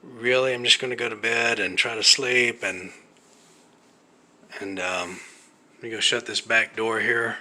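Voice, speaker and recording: Man speaking slowly, slightly raspy voice. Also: on the low side, fairly even intonation, pushed and bright. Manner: slow, halting, thinking aloud with many fillers. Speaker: vlogger. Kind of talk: casual vlog monologue. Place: living room of an apartment at night.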